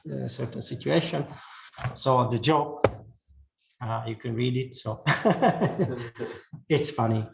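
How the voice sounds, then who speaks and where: A Hungarian-born man speaks slowly to a live audience, halting and restarting often. His voice has a gravelly fry. The room is echoey, with faint noise underneath. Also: medium pitch, darkish tone. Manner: halting, many fillers and restarts. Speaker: speaker giving a talk on AI tools. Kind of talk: presentation to an audience.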